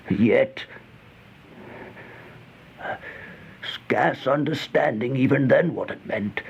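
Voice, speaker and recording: Slow, creaky-voiced, haunted man in terror. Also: medium pitch, heavily acted. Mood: horrified. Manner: halting, gasping, theatrical delivery with drawn-out dread. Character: horrified man narrating his nightmare in a plague-haunted house. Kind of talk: old time radio horror monologue. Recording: muffled, noisy old radio recording.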